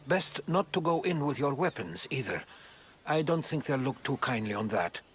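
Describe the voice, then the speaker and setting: Bright-voiced, wary companion. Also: male voice, mid-range voice, big pitch swings, normal speed, a little hiss or hum. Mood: cautioning. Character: wary, loyal male companion and guide. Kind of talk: video game cutscene acting.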